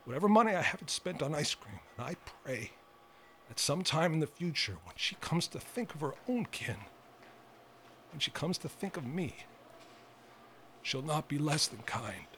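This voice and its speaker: An actor playing a remorseful, dying old man who confesses haltingly in an audio drama monologue. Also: a little high, very animated, fairly bright tone, slight background noise. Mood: resigned. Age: older.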